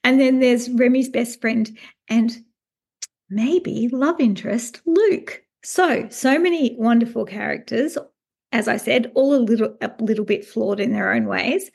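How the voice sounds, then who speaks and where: Casting: female book-chat host, highish creaky voice, effusive delivery. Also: a little slow, darkish tone. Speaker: interview host.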